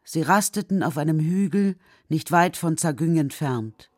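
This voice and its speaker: A man's voice: fairly high pitch, normal speed, dry recording, studio quality. A man reading scripted narration for a children's radio play.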